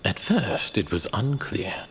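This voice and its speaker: Grave old lore-keeper, played by a man, low and unhurried, widely swooping delivery, narrating fantasy audio drama. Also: big pitch swings, fairly bright tone, a little hiss or hum, full character voice. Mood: grave, portentous. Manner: grave, theatrical, measured. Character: old learned male archivist telling a legend.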